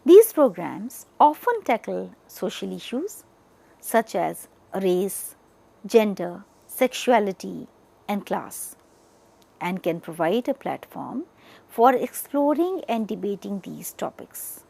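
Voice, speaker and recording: A woman giving an educational lecture, partly reading from notes, speaking deliberately in short, emphatic chunks. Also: mid-range voice, very animated, vocal fry, dark tone.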